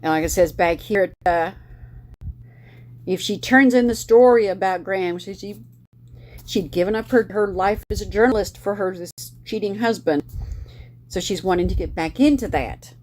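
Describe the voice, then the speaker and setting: A woman's voice: medium pitch, moderate pace, a little mellow. A middle-aged woman hosting a book club, talking casually about a novel with many fillers and restarts. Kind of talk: casual book review chat.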